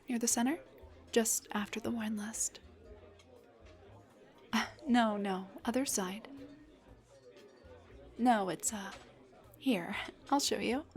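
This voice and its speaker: A woman's voice: on the high side, darkish tone. A woman playing a shy, nervous dullahan on a blind date in an intimate audio roleplay, speaking in a flustered, hesitant, rambling way. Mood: playful, relaxed. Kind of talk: intimate scripted audio roleplay addressed to the listener.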